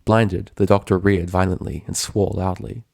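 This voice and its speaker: A man's voice: sits low, steady tone, moderate pace, vocal fry, darkish tone, slightly rough, dry recording, clean studio sound. A man reading a piece of fiction aloud as scripted story narration. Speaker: fan fiction reader.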